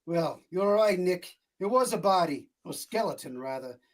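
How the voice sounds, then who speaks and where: A man's voice: high-pitched, expressive, normal speed. A man playing a harried, brusque but friendly police inspector in a vintage-style radio play, speaking colloquially. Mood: businesslike.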